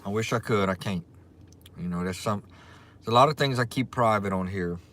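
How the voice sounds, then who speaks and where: A man with a thick Cajun accent hosts a laid-back livestream, chatting easily and answering viewers as their comments roll in. His voice sits a little low for a man, loose and unhurried, with a friendly Southern drawl. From Louisiana. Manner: relaxed, chatty, reads and greets viewers' comments. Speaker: livestream host. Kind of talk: casual livestream chat.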